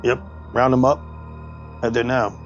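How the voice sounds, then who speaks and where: A man's voice: mid-range voice, little pitch movement, measured pace. A man playing a tabletop role-playing game, talking casually and improvising, on a dull lo-fi recording with music behind.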